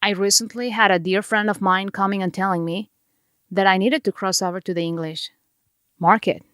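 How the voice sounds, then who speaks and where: A woman's voice: mid-range voice, steady tone, normal speed, fairly bright tone, smooth, no echo, clean studio sound. A woman with a Mexican Spanish accent, a native Spanish speaker, hosting a podcast with an earnest, heartfelt motivational monologue. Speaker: podcast host.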